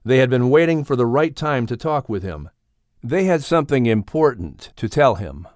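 Male narrator for children's audiobook, expressive storyteller, lively melodic range, close dry sound. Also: medium pitch, normal speed, dry recording.

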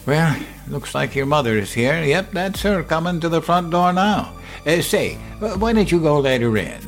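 An actor playing a gentle yet sinister old grandfather in a horror audio drama, talking in a deliberate, folksy, halting way. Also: on the high side, expressive, soft background music. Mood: kindly, folksy. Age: older.

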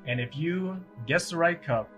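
A man's voice: fairly high pitch, animated, a bit bright, soft background music. A man voicing a menacing spacer who taunts and mocks his enemy in a tabletop game. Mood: taunting. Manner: mocking, slow-burning menace.

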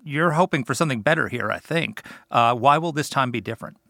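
Male panel questioner: lowish, crisp bright tone, no room sound, conversational delivery. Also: fast, no echo. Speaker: interviewer, panel moderator.

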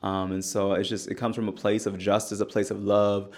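A young man from the Bay Area speaks as an interview guest in a very deep voice. His delivery is flat and even, earnest and steady, and he strings his thoughts into long, flowing sentences. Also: brisk. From California Bay Area. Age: young adult. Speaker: political candidate and organizer.

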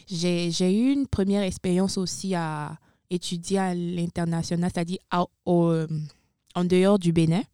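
A young woman from Benin chats as a radio guest, her voice low-pitched, mellow and smooth. She often hesitates and corrects herself mid-sentence. The sound is dry and close. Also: fairly even intonation, normal speed, no echo. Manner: chatty, frequent hesitations and self-corrections. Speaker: guest, university international student services supervisor. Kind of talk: casual radio interview.

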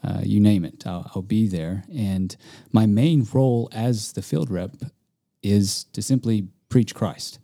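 A man answers interview questions in a cabin living room, talking easily and adding the odd light joke. His voice is fairly low and very dark and mellow. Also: a little slow, gravelly. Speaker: field rep and teacher.

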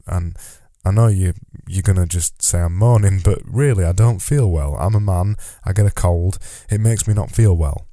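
Man, nasal from a cold, deep voice, very dark tone, solo podcast, motivational ramble. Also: no room sound, studio quality. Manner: rambling, self-deprecating, motivational. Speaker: podcast host.

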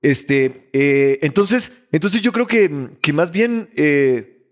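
A man's voice: on the high side, extremely slow. A man in his fifties, born in Colombia and raised in Ecuador, a musician chatting animatedly in an interview and restarting his sentences often. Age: 50s. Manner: animated storyteller, frequent restarts, laughs easily. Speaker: musician guest. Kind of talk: casual interview chat.

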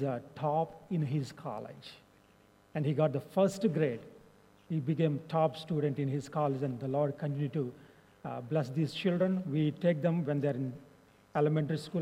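A young man with an Indian accent gives a mission update to a church, pausing often on fillers. His voice is mellow and sits a little high, and it rings out with a long hall reverb. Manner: halting, frequent fillers. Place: church sanctuary.

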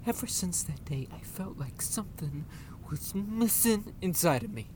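A man's voice: sits high, lively intonation, moderate pace, a little mellow, a little hiss or hum. A vengeful, grieving gangster father, wildly swinging voice. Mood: bitter grief. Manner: menacing, emotional, swearing. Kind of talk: audio drama acting.

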